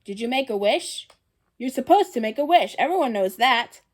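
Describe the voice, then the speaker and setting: A woman's voice: fairly high pitch, animated, moderate pace. A woman reading as a bossy older brother. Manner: know-it-all, impatient.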